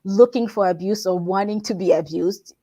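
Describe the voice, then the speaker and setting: A woman's voice: medium pitch, normal speed, mellow. A woman from Congo, a workplace wellbeing consultant, answering interview questions in an earnest, reflective way, often restarting her phrases.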